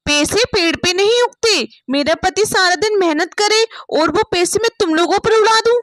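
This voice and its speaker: Haughty rich young bride played by a woman, very high voice, dry recording, taunting and spiteful, moral-story audio. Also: moderate pace, a bit bright, no room sound, soft background music. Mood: irritated. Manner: taunting, sneering, dramatic.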